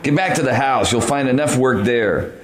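A man with an American accent playing a gruff, hot-tempered, overworked farmer in a radio play, with folksy rural speech. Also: medium pitch, normal speed, close to an everyday voice. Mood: brusque, stubborn.

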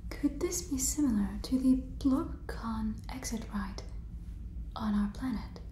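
A young woman playing a solemn, clueless female alien underling, stilted and deadpan, in a whispered ASMR sitcom. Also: fairly high pitch, a little slow, echoey room, slight background noise, natural voice. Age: young adult.

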